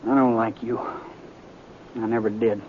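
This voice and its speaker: A man's voice: on the low side, little pitch movement, a little slow, close to an everyday voice. An American man playing a sullen, blunt young wounded cowboy in a 1950s western radio drama. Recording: tinny, noisy 1950s radio recording.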